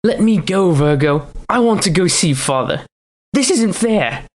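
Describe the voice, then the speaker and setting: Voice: medium pitch, animated, a little slow. An actor playing a petulant, demanding young boy prince in an audio drama, whining and insistent.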